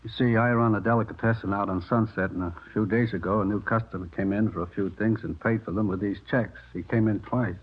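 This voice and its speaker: Anxious, deferential American deli owner, husky and creaky, tinny vintage radio drama sound. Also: male voice, fairly low pitch, fairly even intonation, fast talker, hardly any pauses. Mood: polite, worried. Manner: hesitant, deferential. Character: polite, anxious small delicatessen owner, male. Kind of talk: 1950s radio drama acting. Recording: thin, phone-like vintage radio recording.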